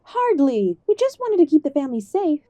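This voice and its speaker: A woman's voice: high voice, very animated, fairly quick, warm tone, dry recording, dull audio. A woman playing a middle-aged mother in an audio drama, defensive and reproachful with her grown son. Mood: heated. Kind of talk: audio roleplay drama acting.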